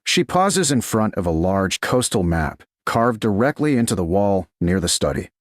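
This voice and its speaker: A man giving measured, dramatic scripted narration of a storybook romance. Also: lowish voice, big pitch swings, no echo, studio quality.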